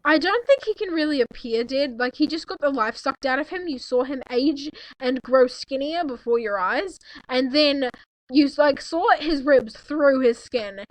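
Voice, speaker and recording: High-voiced young woman, giggly and sarcastic. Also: expressive, normal speed, no echo, rough recording. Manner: giggly, mock-dramatic sarcasm. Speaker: co-host reading fanfiction. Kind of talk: casual joking chat on a call with a friend.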